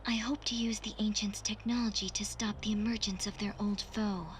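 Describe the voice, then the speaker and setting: A woman voicing a solemn, wistful female artificial intelligence in a video game, speaking in a soft, breathy, formal and measured way. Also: mid-range voice, level tone, background noise, lightly acted. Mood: calm, explanatory. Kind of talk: scripted video game cutscene acting. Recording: music under the voice. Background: game music.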